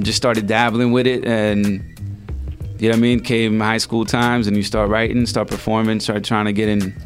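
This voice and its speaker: A man from El Salvador, a rapper guest, telling his story in a loose, slangy, laid-back way on a radio show. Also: lowish voice. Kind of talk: casual radio interview.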